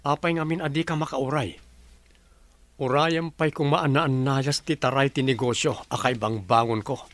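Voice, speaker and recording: A man's voice: medium pitch, steady tone, normal speed, fairly bright tone, dry recording, lightly acted. A man playing an evasive, defensive fiancé in a radio drama, with a Filipino Ilocano accent.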